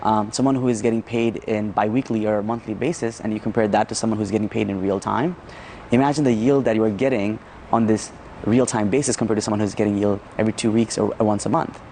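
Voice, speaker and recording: A man's voice: fairly low pitch, steady tone, fast, dark tone, a little hiss or hum. A man from Nepal answering interview questions as a startup founder, often repeating words and checking in with "right?".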